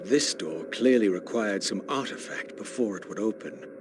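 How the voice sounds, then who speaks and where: Man acting a low-voiced, brooding vampire with solemn gravity. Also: lively intonation, heavy background noise, close to an everyday voice. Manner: formal, measured, portentous diction. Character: brooding, solemn male vampire, weary and aristocratic. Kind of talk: scripted video game narration, introspective monologue.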